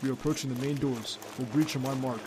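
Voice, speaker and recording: A man's voice: mid-range voice, fairly even intonation, fast, background noise, close to an everyday voice. A man playing a young secret agent who leads his team and is a devoted boyfriend, in an audio roleplay drama. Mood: controlled, focused. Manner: clipped military radio commands.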